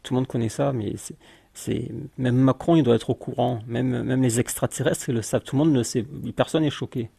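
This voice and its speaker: Guy reacting to a video, super gravelly husky voice, mocking, dry close sound. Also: medium pitch, steady tone, fairly quick, warm tone, dry recording. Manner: dismissive, mocking, talks over the clip. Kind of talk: casual reaction commentary.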